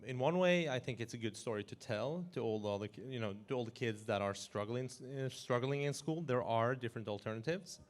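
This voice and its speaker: Lively man in his forties. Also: medium pitch, brisk, few pauses. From Iran, raised in Norway. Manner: animated, chuckling, frequent restarts. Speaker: guest. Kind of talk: casual interview talk.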